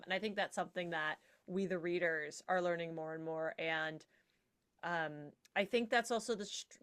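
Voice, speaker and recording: A woman's voice: lowish voice, fairly bright tone. A woman speaking as a podcast panel guest in a casual, wry, articulate and digressive way.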